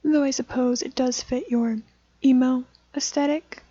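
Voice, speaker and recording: Woman as a high-pitched, theatrical eager young man. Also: fairly even intonation, mellow, no echo, dull audio. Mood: playful, excited. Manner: theatrical, emphatic with pauses.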